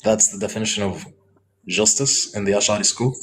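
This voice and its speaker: A man's voice: on the low side, brisk, fairly bright tone, slight background noise. A man in a live debate, pressing with probing, insistent questions. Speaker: debate participant.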